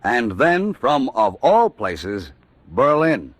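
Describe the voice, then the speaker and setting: An actor playing a solemn, questioning narrator in a wartime documentary radio drama. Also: male voice, medium pitch, very animated, slow pace, no echo. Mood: grave.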